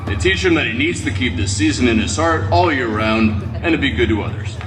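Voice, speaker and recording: Casting: male actor as grumpy, deadpan superhero, curt and reluctant, medium pitch, heard from a distance in an echoey live show. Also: fairly quick, background noise, lightly acted. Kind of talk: theatrical comic banter.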